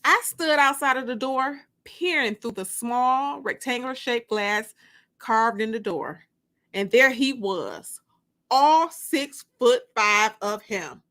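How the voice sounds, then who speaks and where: Slow, bright woman reading a dramatic story. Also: fairly high pitch. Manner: expressive, emphatic storytelling. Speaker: author and narrator. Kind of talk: dramatic first-person audiobook narration.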